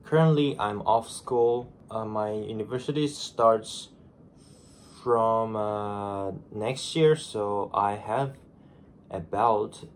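A man's voice: on the low side, a little hiss or hum. A Japanese man in his twenties with a Japanese accent, chatting casually to camera in his bedroom, groggy from just waking up. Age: 20s. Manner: chatty, self-deprecating, restarts phrases. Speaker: vlogger. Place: small bedroom, indoors. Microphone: camera in front at desk.

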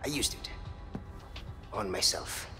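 A man's voice: slow pace, over music, natural voice. An actor playing an earnest, charming scientist in his thirties with a theatrical, anguished manner in a video game. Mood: earnest, pleading. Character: impassioned charming male scientist, anguished and restless. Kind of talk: video game cutscene acting.

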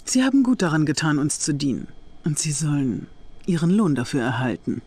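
A young Soviet officer in a game cutscene. She is cold and scheming, with a stern, deliberate delivery and the air of a conspirator. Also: deep voice, lively intonation, darkish tone, slightly rough. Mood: coolly commanding. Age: young adult. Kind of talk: video game cutscene acting.

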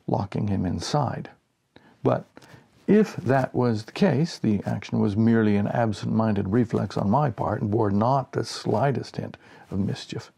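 An American man in his sixties reading a humorous short story aloud in an expressive storyteller's way. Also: fairly low pitch, gravelly, breathy edge. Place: small home office, indoors.

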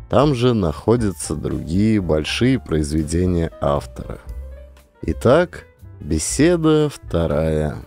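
A man reads a scripted comic story as its narrator. His voice is very low and dark, and he takes his time, with music playing behind him. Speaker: narrator and actor.

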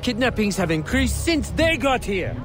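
An indignant townsman in a game drama. His voice is high and curt, full of grievance, with clear music behind it. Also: sing-song, moderate pace. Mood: aggrieved. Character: indignant, embittered male townsman protester. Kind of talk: video game cutscene acting.

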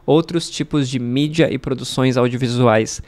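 A man's voice: mid-range voice, moderate pace. A Brazilian man in his thirties, a language teacher, chatting animatedly to camera from a room at home into a studio mic. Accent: Brazilian Portuguese. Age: 30s. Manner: animated, gestures a lot with both hands, laughs between phrases. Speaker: language teacher and channel host. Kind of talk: casual talking-head vlog. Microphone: studio mic on a stand in frame.

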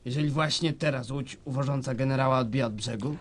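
A man acting an eager, excitable military official in a satirical radio drama. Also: mid-range voice, fast, natural voice.

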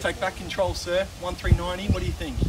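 An Australian man in his thirties works a crowd at a house auction, his voice riding unusually high as he pushes the bids along in quick, coaxing patter. He is caught from well back by a camera on a suburban street. Heavy traffic and street noise press in around him. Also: level tone, recorded from a distance. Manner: brisk persuasive auction patter, repeating the figures. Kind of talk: live auction calling. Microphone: camera filming from some distance, no mic seen.

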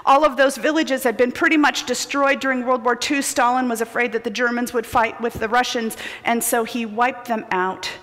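A middle-aged woman preaching a sermon built on personal stories, shifting between loud urgency and hushed near-whispers. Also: fairly high pitch, fairly even intonation, normal speed, few pauses. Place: large church sanctuary with choir loft. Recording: long hall reverb of a large sanctuary.

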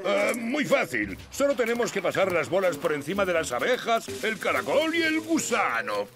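An actor with a European Spanish accent playing a pompous, strict red ant general in a children's cartoon, with a gravelly voice. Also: male voice, high voice, huge pitch swings, normal speed. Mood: officious. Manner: officious, pompous. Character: bossy red ant general, strict rule-obsessed officer. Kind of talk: animated children's cartoon acting. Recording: music behind the voice.

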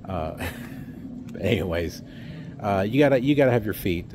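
A man's voice: lowish voice, lively intonation, a little slow, noisy background. A middle-aged man vlogging outdoors into a phone held at arm's length, rambling sarcastically with lots of fillers. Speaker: trucking vlogger. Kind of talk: casual outdoor vlog rant. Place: outdoors by a block wall.

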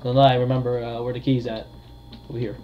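A man giving casual, hesitant gameplay commentary with frequent pauses. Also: medium pitch, little pitch movement. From United States. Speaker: gamer, video creator. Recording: dull lo-fi mic, faint noise.

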